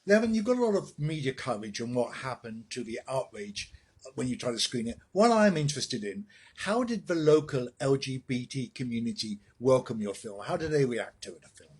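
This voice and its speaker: A man's voice: mid-range voice, very animated, a little fast, a little mellow, breathy edge. A man working as an interviewer, asking questions in a conversational style.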